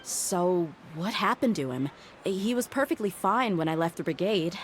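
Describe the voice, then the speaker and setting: A woman's voice: fairly low pitch, animated, moderate pace, a little hiss or hum, close to an everyday voice. An actress playing a tough young woman mercenary who talks bluntly with guarded frustration, over faint music. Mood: questioning. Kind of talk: video game voice acting. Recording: game audio with faint music.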